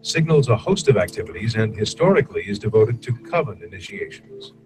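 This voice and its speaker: A man reading a list aloud in a measured way. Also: lowish voice, sing-song, noisy background.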